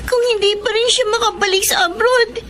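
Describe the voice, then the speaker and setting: A woman's voice: very high-pitched, steady tone, fairly quick, fairly bright tone, slight background noise. A woman playing an anxious, respectful teenage daughter in an emotive radio drama. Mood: tearful, pleading.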